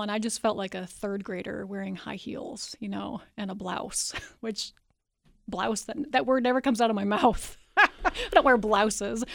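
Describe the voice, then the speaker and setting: A creaky-voiced woman you'd hear as the frank, self-deprecating guest on a close, dry studio book interview. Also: mid-range voice, no echo. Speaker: author being interviewed. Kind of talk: candid personal storytelling in an interview. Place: radio studio, in person.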